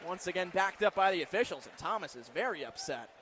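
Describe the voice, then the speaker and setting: A man's voice: sits high, expressive, fast talker, fairly bright tone. A man doing live radio play-by-play of American football, narrating the action in clipped present-tense phrases. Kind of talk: live play-by-play sports commentary. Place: football stadium broadcast position. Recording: faint stadium background noise.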